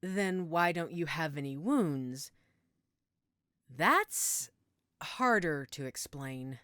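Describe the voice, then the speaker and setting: A woman playing a middle-aged motherly healer in an audio roleplay, gentle, reassuring and coaxing. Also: low voice, lively intonation, drawn-out words, dry recording, studio quality, full character voice. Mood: gentle, serious. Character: calm motherly werewolf-den healer named Judith, tending a patient.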